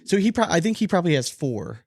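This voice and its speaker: A man's voice: fairly high pitch, very animated, quick pace, vocal fry, dry recording, studio quality. A man co-hosting a casual sports podcast, talking in an emphatic, opinionated way and swearing casually. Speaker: podcast co-host.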